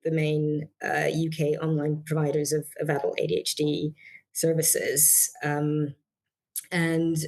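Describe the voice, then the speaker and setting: A middle-aged woman from Barbados, a psychiatrist answering interview questions in a measured way, with many fillers and restarts. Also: low voice, darkish tone. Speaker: guest psychiatrist.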